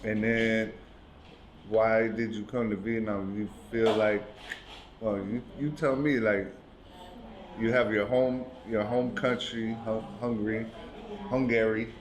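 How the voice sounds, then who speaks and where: A man's voice: on the low side, steady tone, slow, roomy sound. A man hosting a podcast, asking loose questions and joking, with frequent short backchannels. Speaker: podcast host. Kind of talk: casual interview chat. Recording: clear background noise.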